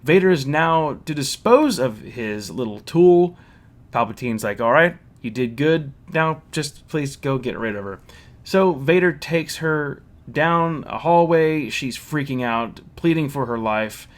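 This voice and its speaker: A man's voice: on the high side, expressive, a little slow. Blunt man giving a casual review. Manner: blunt, critical. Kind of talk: casual opinionated review with story recap.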